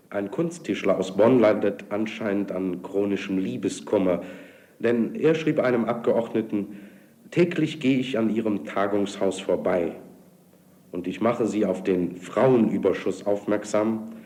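A man reads documentary narration in a slow, dry manner. His voice is low, mellow and dark in tone.

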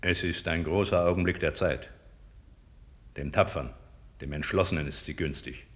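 A man's voice: low-pitched, fairly even intonation, moderate pace, a bit bright. A man playing a bold, resolute military conspirator, declaiming in a 1950s German radio drama. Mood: resolute, rousing.

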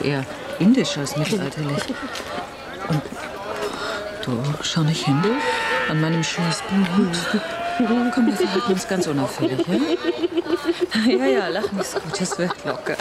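A cautious, nervous woman on a trip, in a naturalistic radio play. She urges and hesitates like a conspirator, and loud ambience surrounds her. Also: deep voice, lively intonation, normal speed, pauses often, a loud crowd, very noisy. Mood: stifled laughter, urging. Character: anxious, cautious female tourist, conspiratorial with her friend. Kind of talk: naturalistic radio drama dialogue.

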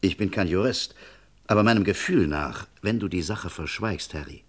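Low-voiced, insistent, level-headed friend. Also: male voice, expressive, moderate pace. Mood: measured. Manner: firm, reasoning, emphatic. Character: level-headed, insistent male friend giving stern advice. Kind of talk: vintage German radio crime play acting.

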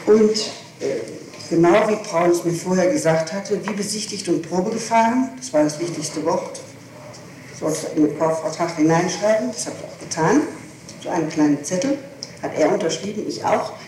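A woman's voice: fairly low pitch, normal speed, warm tone. A woman gives testimony under questioning, precise and insistent about details. She sounds distant in a heavily reverberant room, on an archival tape with faint noise. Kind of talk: police interrogation testimony. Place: reverberant room, far from the microphone. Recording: echoey, distant, noisy archival tape recording.

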